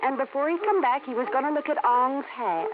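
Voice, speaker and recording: High, sassy country gal played by a woman, old radio. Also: brisk, lightly acted. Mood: explaining. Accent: rural American Southwestern. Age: young adult. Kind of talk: 1950s radio drama acting. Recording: noisy, narrow-band old radio recording.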